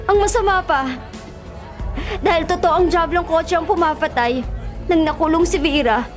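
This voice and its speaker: A woman with a Filipino accent playing a distraught, defiant heroine fighting for her friends, in an emotional radio drama style. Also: extremely high pitch. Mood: grieving. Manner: emotional, intense, melodramatic. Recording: dramatic music underneath.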